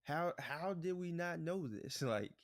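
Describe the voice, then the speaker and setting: A man co-hosting a podcast in relaxed, rambling casual chat, often saying "bruh" and "like". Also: a little high, measured pace. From United States. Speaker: podcast co-host.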